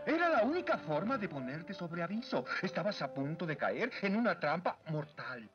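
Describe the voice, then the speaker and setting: A man's voice: high-pitched, sing-song, moderate pace. A man voicing a cowardly, anxious talking tiger in a cartoon, whiny and melodramatic, with a Latin American accent. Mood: sheepish. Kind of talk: Latin American Spanish cartoon dub acting. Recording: dull lo-fi cartoon soundtrack with music.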